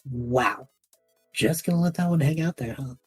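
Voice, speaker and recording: A woman playing an anxious, sarcastic young male magic student in an audio drama, rambling, flustered and interrupting himself, with a husky voice. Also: extremely low pitch, moderate pace, soft background music, exaggerated voice. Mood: playful, defensive. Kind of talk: audio drama acting, monologue and banter.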